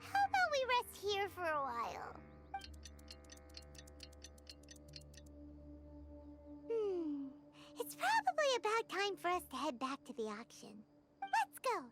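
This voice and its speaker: An extremely high, squeaky little fairy companion, childlike and bossy. The delivery swoops around in exclamations, in video game style, with loud music behind it. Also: female voice, wildly swooping pitch, normal speed, heavily acted. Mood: tired, whiny. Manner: refers to self in third person, exclamatory. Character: tiny childlike female floating fairy companion, excitable and bossy.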